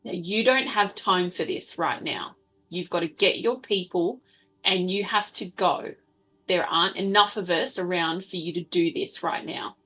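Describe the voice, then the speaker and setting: A woman's voice: fairly low pitch, a little slow, pushed and bright, low-quality recording. A woman playing a blunt, commanding female wasteland survivor in a tabletop game, giving firm orders. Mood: urgent, commanding. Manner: firm, imperative. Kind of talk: tabletop roleplaying character voice.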